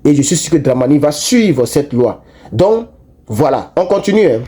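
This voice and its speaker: Male online commentator, talks straight to viewers, lively and repetitive, mellow tone. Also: fairly high pitch, normal speed. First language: not French. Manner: animated, repetitive, frequent restarts and fillers. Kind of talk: casual political commentary to viewers.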